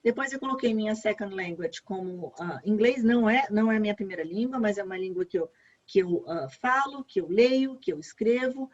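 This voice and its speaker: A Brazilian woman walks through an online application form in a relaxed, chatty way, repeating the points she wants to stress. Her voice is a little husky, and the video call audio is dull and lo-fi. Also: mid-range voice, fairly quick. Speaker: education advisor. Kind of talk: online workshop walkthrough.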